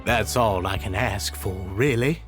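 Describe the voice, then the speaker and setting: An actor playing an earnest, proud society founder in a video game, polite and deferential in manner. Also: male voice, on the low side, unhurried, over music, lightly acted. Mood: gratified, earnest.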